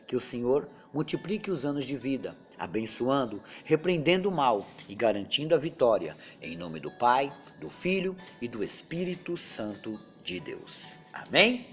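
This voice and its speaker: Lively chatty male pastor, faint music. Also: medium pitch, moderate pace, hardly any pauses. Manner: chatty, peppers talk with tag questions. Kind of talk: informal Bible study with prayer.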